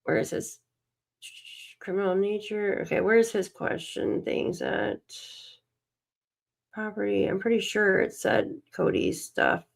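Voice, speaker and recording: A woman's voice: mid-range voice, sing-song, slow, vocal fry, long pauses. A tired woman hosting a casual livestream, reading documents aloud and muttering to herself as she searches, coughing and losing her voice. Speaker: livestream host.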